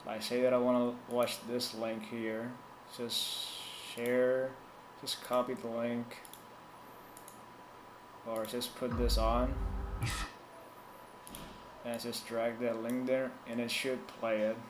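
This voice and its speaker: Slow, easygoing man. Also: medium pitch, monotone, reverberant, noisy background. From Puerto Rico. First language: Spanish. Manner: loose, thinks aloud, reads and answers chat. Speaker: tech streamer. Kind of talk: casual live-stream talk.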